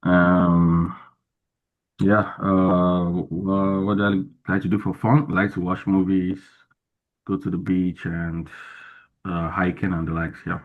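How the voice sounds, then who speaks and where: Deep, flat man on a tinny line. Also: slow. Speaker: student. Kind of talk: self-introduction in class.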